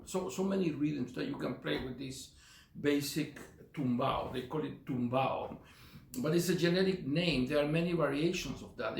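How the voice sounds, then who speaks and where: A man's voice: a little high, creaky. A middle-aged man from Chile with a Chilean Spanish accent, teaching a percussion lesson with many fillers and counting beats aloud. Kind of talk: relaxed drum lesson. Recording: echoey room with faint noise.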